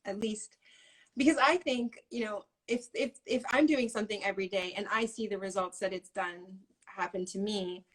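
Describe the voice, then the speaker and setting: A woman's voice: mid-range voice, moderate pace, poor audio. A woman guest in a casual interview, talking in a rambling way with frequent laughs. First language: English. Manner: rambling, many fillers and restarts, laughs often.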